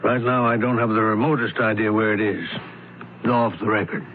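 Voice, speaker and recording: An actor playing a weary, fretful estate lawyer, dry and emphatic, in a radio mystery drama. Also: male voice, on the low side, steady tone, normal speed, close to an everyday voice. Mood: fretful, confiding. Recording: noisy, tinny old radio broadcast.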